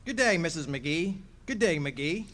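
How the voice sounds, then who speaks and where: A man's voice: on the high side, lively intonation, unhurried, fairly bright tone, slight background noise. A man playing a pompous, long-winded male small-town mayor in a live radio play. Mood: stuffy.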